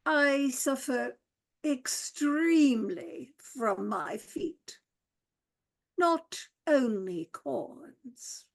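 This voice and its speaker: A woman playing a pompous, slow-moving male butler in a radio play, solemnly complaining of his aches. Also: high voice, creaky, exaggerated voice. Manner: ponderous, solemn, complaining about ailments.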